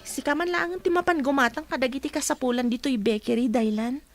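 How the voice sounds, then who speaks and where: A woman's voice: high voice, fast talker. A woman acting as a possessive, watchful bakery wife in a comedy-drama radio play. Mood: chatty, possessive.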